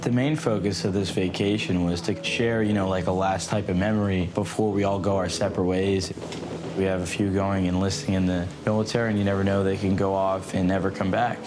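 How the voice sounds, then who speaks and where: A man's voice: low-pitched, flat delivery, fairly quick. A teenage young man who leads fellow cadets at a military academy, talking casually in an interview. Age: teens. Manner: casual, loose with fillers. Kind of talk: documentary interview. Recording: music bed under interview. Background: music.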